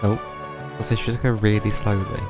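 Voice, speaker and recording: A man's voice: fairly low pitch, level tone, brisk, music in the background. A man streaming a game, tossing in joking, chatty asides over it. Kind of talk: casual live game commentary.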